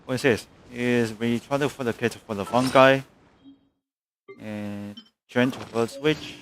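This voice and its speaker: A man's voice: mid-range voice, fairly even intonation, measured pace, a bit bright, a little hiss or hum. Casual male game streamer. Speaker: live streamer. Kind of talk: casual game livestream commentary. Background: game music.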